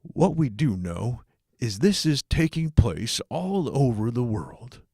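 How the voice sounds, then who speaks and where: A man acts out an American president in an audiobook drama, addressing the nation during a crisis. The voice is mid-pitched, formal and measured, recorded dry. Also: big pitch swings, dark tone, breathy edge, no room sound, full character voice. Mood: solemn.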